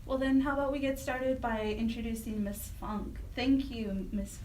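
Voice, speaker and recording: Female radio co-host, fairly high voice, casual chat, a bit off-mic with background noise. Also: moderate pace, a little mellow, reverberant, distant mic, low-quality recording. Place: radio show, live.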